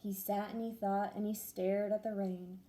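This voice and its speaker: A young woman, a first grade teacher, reading a rhyming picture book aloud with expressive, sing-song storytelling. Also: medium pitch, normal speed, a little hiss or hum.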